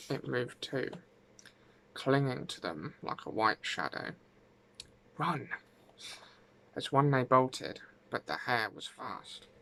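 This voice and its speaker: Gravelly male ASMR storyteller. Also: mid-range voice, a bit bright, slight background noise, low-quality recording. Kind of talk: ASMR folk tale narration.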